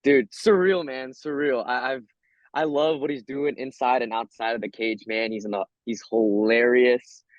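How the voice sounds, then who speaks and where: An American man talks like a fighter in a relaxed interview, slangy and repetitive. His voice sits at a middle pitch. The sound is dry and a bit dull and lo-fi. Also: moderate pace, dry recording. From Hawaii, USA. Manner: slangy, repeats himself, says "man" often. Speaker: MMA fighter being interviewed. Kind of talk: casual interview chat.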